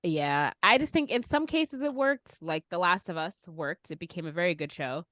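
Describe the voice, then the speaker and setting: A woman's voice: fairly low pitch, lively intonation, moderate pace, a bit bright, dry recording. Inquisitive woman hosting a casual podcast, dry sound. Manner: conversational, informal, inquisitive. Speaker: podcast host. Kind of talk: casual panel chat, asking questions.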